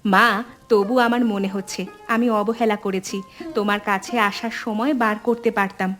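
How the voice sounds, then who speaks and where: A woman playing a sensible married elder sister and mother in an animated family drama, composed and reflective. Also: mid-range voice, unhurried, faint music behind, lightly acted. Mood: regretful.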